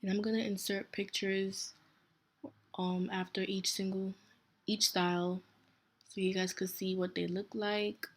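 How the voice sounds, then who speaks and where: A young woman from Nigeria with a Nigerian accent, talking casually through a step-by-step hair tutorial, relaxed and chatty with small self-corrections. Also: medium pitch, a little slow.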